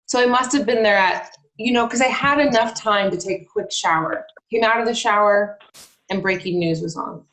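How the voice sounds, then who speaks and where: In a roomy, echoing space, a woman acts out an alarmed local woman giving testimony for a documentary play. Her pitch is average and her telling is conversational. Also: normal speed, fairly bright tone, natural voice. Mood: dazed. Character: alarmed local woman recalling a frightening morning. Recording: echoey room over video call.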